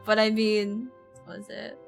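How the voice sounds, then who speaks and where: A woman's voice: medium pitch, monotone, a little slow, vocal fry, soft background music. A woman from Ireland with a neutral, North American-sounding accent, chatting casually and slangily on a rambling, giggly game stream. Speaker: live streamer. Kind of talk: casual gaming stream chat.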